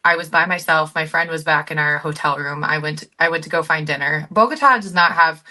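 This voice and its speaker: A woman's voice: low-pitched, brisk, pushed and bright. An American woman telling personal stories in a casual, conversational way, acting out bits of dialogue as she goes. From United States. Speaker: guest, group travel company founder.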